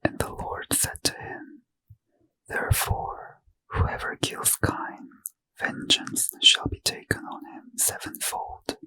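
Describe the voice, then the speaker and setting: A man softly whispering a Bible reading in ASMR style, close to the mic. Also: no room sound, poor audio. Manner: slow soft whisper, unvoiced throughout. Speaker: reader.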